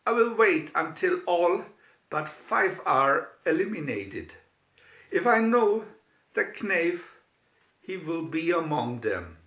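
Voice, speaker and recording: A man's voice: on the high side, big pitch swings, takes it slowly, fairly bright tone, reverberant, muffled recording, full character voice. A man voicing a scheming, boastful medieval sheriff in a storybook, proclaiming theatrically. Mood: confident.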